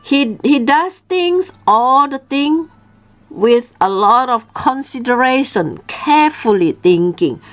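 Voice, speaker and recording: Slow-paced woman teacher. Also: high-pitched, animated. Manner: didactic, often checks with "okay?". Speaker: storytelling teacher and host. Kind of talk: informal storytelling lesson for learners.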